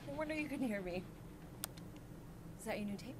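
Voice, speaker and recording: A woman's voice: a little high, lively intonation, brisk, darkish tone, close to an everyday voice. An actress playing a playful, loving mother who teases her thirteen-year-old son. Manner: playful, teasing. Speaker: game character.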